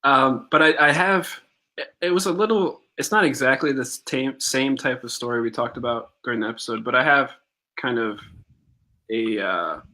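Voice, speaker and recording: A young man co-hosting a live podcast. He recounts a story loosely, with plenty of "uh"s and pauses. His voice is bright and forward, in a middle pitch. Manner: relaxed, recounts stories with fillers and pauses. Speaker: podcast co-host. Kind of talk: casual live-stream chat and storytelling.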